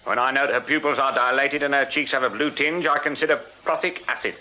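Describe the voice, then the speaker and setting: Radio drama detective sergeant: English, shrewd, formal, authoritative, sharp middle-pitched delivery. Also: male voice, steady tone, quick pace. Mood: dry, stern. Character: stern, shrewd, unyielding Victorian police detective sergeant. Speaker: actor. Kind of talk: British radio drama acting. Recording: dull radio drama sound with noise.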